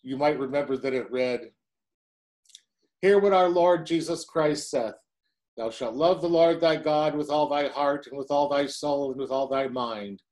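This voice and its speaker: A man's voice: fairly high pitch, measured pace, darkish tone. A man in his sixties, a pastor, preaching a sermon from home to a webcam in a chatty way, with wry asides.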